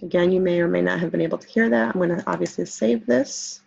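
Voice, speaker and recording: A woman's voice: lowish voice, fast talker, vocal fry, warm tone, breathy edge, lo-fi sound. A woman teacher talking casually through a screen-recorded tutorial, step by step, with many okays.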